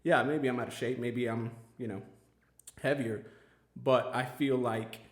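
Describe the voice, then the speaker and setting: A man's voice: mid-range voice, fairly even intonation, measured pace, reverberant. A man hosting a casual podcast chat, talking earnestly with lots of fillers and restarts. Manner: rambling, filler-heavy, earnest. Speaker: podcast host.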